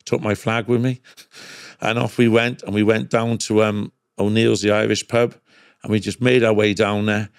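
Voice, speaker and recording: A man's voice: fairly low pitch, flat delivery, normal speed, creaky, smooth, no room sound, clean studio sound. A middle-aged man with a Cardiff Welsh accent telling casual anecdotes as a podcast guest, emphatic and repetitive, often checking in with "right?". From Cardiff, Wales.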